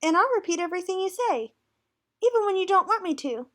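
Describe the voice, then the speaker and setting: A woman's voice: very high-pitched, big pitch swings, quick pace, pitched above the performer's own voice. A woman voicing a tiny, helpful mouse pupil in a picture book, chirpy and eager. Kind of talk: picture book read aloud.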